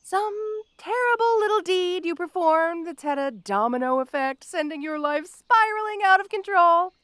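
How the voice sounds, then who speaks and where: A woman playing a petty, obsessed female supervillain in an audio roleplay, theatrical and taunting, with mock-sweet, drawn-out words. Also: very high-pitched, expressive, a bit bright, no room sound, studio quality. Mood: menacing, needling. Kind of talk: scripted audio roleplay addressed to the listener.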